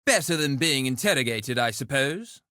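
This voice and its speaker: An actor playing a cocky, loquacious duelist and schemer in a video game, with a wry, flippant, theatrical delivery. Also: male voice, medium pitch, animated, normal speed, bright, forceful tone, dry recording, studio quality. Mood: rueful, resigned.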